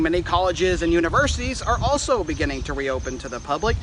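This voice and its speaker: A man's voice: fairly high pitch, fairly bright tone, slight background noise. A young American man reporting the news in a broadcast style, in live stand-ups and scripted narration. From Oklahoma, United States.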